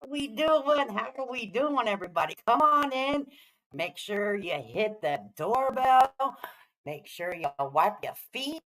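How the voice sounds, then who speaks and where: A woman's voice: on the high side, animated, measured pace, a bit bright, soft background music, rough recording. Upbeat woman hosting a livestream. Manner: upbeat, welcoming, playful. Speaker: livestream host. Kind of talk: casual livestream chat.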